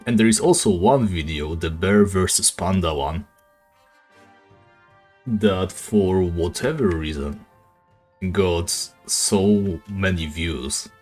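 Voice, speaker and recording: Deep raspy guy streaming a game, english not his first language, laid back, lots of uhs, faint music behind. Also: slow pace. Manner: relaxed, rambling, many fillers. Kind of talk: casual live-stream chat while gaming.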